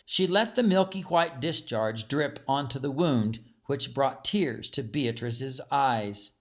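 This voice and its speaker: A man reading a scripted story as an audiobook narrator, with a measured, dramatic storytelling delivery. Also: medium pitch, animated.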